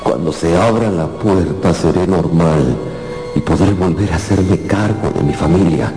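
An actor playing a despairing young man turned into an insect, muttering broodingly to himself in a gravelly voice in a radio drama. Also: low-pitched, a little slow, dark tone. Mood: desperate hope. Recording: roomy echo with music underneath.

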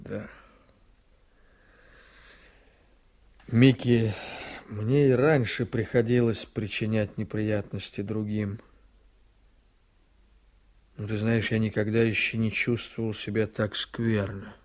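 An actor playing a weary, guarded political candidate and war veteran in a radio play, measured but emphatic. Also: male voice, fairly low pitch, level tone, a little mellow, natural voice. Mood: grave, firm. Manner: measured, reluctant, emphatic when pressed.

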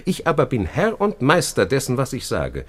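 An actor playing a brash, scheming seducer in a classical German radio play, with boastful, declamatory delivery. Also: male voice, mid-range voice, huge pitch swings, normal speed. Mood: boastful, provoking.

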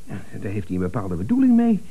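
An actor playing an earnest, stubborn office employee with a hesitant, pedantic way of talking, in radio comedy. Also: male voice, on the low side, huge pitch swings, fast talker, slightly rough, phone-quality sound, exaggerated voice. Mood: puzzled, suspicious. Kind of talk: 1970s Dutch radio comedy acting.

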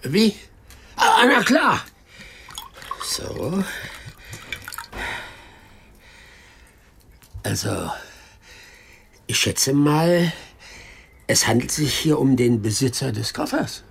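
An actor playing a chummy, boastful old backwoods rascal in an audio drama, blunt and colloquial. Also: male voice, medium pitch, very animated, takes it slowly, creaky, long pauses, a bit bright, husky, heavily acted. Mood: eager, cocky. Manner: colloquial, blunt, interjection-filled. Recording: radio drama production with music.

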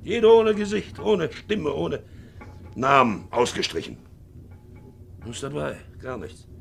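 An actor playing a hard, brooding ageing ship's captain in a 1950s radio drama, shifting between muttering and barking. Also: male voice, fairly high pitch, wildly swooping pitch, slow pace, vocal fry, exaggerated voice. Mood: bitter, self-justifying. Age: older. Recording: 1950s radio recording, faint music.

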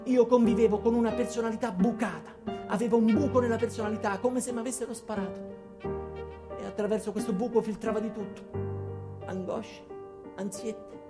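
An actor playing an anxious, paranoid ordinary man, telling his story in a wry, deadpan theatrical monologue. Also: high-pitched, fairly quick, over music, natural voice. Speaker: actor performing a comic monologue. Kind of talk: theatrical first-person comic storytelling.

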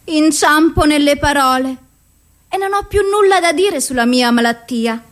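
An actress playing a despairing, suicidal and self-loathing woman in an anguished, deliberate theatrical radio monologue. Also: high voice. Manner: slow, deliberate, anguished delivery.

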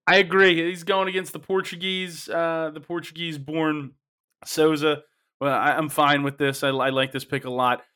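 A man hosting a casual sports betting panel, upbeat and quick to laugh, often restarting his sentences. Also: a little high, little pitch movement, pushed and bright, smooth. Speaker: host of a sports betting show.

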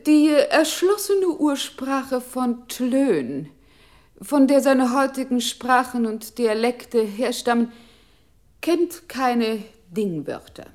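A woman playing a methodical computer voice that recites in a measured literary radio style. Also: fairly high pitch, animated, darkish tone.

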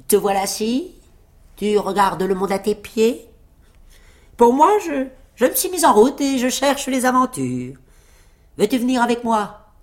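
A woman's voice: fairly high pitch, very animated, unhurried, long pauses, a little mellow, voice pushed up. A woman voicing a boastful, plucky little male tailor in a fairy tale, cocky and full of exclamations. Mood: cheerful, inviting. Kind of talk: fairy-tale storybook acting.

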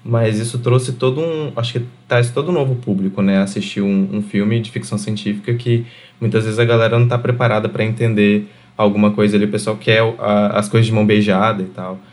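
A Brazilian man chatting casually as a podcast panelist, informal and halting, often repeating words. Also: fairly low pitch, steady tone, darkish tone. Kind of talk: casual chat.

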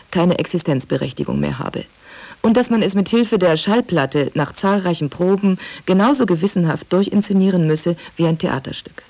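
A woman's voice: fairly low pitch, darkish tone. A woman reading historical press reports in a formal style for a radio documentary.

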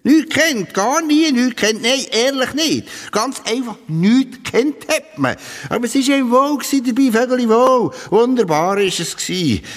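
Swooping, pompous Swiss speechmaker in a hall. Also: male voice, very high-pitched, lively intonation. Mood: nostalgic, insistent. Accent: Swiss German. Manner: pompous, declamatory, drawn-out emphasis. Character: grandiloquent male literary speechmaker mock-praising an author. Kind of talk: theatrical satirical eulogy. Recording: long reverberant hall.

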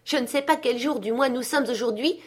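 Woman acting an elated old miser, high and exuberant. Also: moderate pace, darkish tone, close to an everyday voice. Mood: excited wonder. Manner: exclamatory, breathlessly exuberant.

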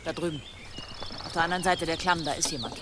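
A woman's voice: deep voice, fast talker, heavy background noise, lightly acted. An actress playing an anxious pagan village woman in an audio drama, urgent and pleading. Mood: alert, hushed.

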